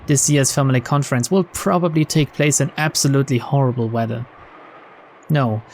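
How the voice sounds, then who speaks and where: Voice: mid-range voice, a little mellow, a little hiss or hum. A let's player reading visual novel narration aloud, breaking off for informal, sweary asides. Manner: sweary, informal reactions between read lines.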